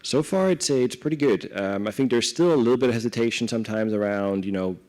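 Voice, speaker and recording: A man's voice: medium pitch, fast, a little mellow. A man from Denmark with a Danish accent, explaining things informally in a live interview and often checking in with "right?". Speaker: corporate director, interview guest. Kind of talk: conversational panel interview answers. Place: live event before an audience.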